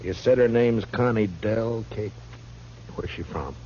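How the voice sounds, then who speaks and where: Male actor as frontier marshal, deep voice, stern, terse, 1950s radio western, hissy vintage recording. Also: measured pace, a little mellow, lightly acted. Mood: calm, probing. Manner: terse, authoritative. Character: stern, weary frontier marshal, steady lawman. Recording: muffled, noisy old radio recording.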